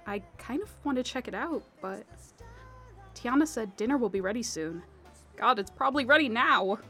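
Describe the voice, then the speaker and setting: A woman's voice: on the high side, expressive, moderate pace, sharp tone, husky, soft background music, full character voice. A young woman acting in an audio drama as a skeptical, grieving daughter who mutters to herself in disbelief. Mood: baffled.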